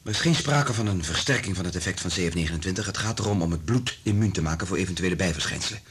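An actor playing a concerned, clinical doctor in a radio drama, speaking in a soothing, restrained and earnest manner. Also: male voice, rapid-fire, fairly bright tone. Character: concerned, clinical male doctor secretly in love with colleague. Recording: noisy radio play recording with low rumble.

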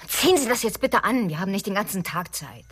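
A woman's voice: medium pitch, sing-song, fast, breathy edge. An actress playing a firm, practical and guarded woman at a research station in a naturalistic radio drama. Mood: impatient.

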